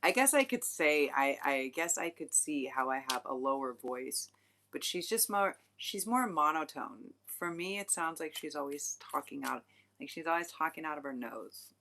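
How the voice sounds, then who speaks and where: Low-voiced, bright, rambling tarot reader woman. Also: expressive, normal speed, a little hiss or hum. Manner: rambling, frank, swears casually, many fillers. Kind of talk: casual livestream tarot reading.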